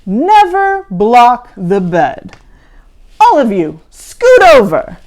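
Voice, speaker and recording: Woman voicing a stern, slow-talking monster schoolmaster. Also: fairly high pitch, wildly swooping pitch, a little mellow, pitched above the performer's own voice, exaggerated voice. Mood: stern, scolding. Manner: slow, scolding, instructive delivery. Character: stern male monster teacher, schoolmasterly and exacting.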